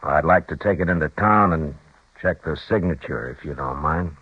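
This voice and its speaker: An actor playing a steady, brooding frontier marshal, calm and measured, in a 1950s radio drama. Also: male voice, extremely low pitch, husky, no echo. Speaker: town marshal. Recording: dull old radio broadcast.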